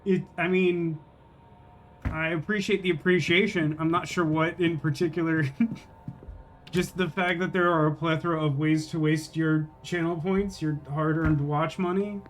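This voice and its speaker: A man's voice: fairly high pitch, steady tone, unhurried. A man doing casual live video game commentary, rambling and wry as he thinks aloud and chats with viewers.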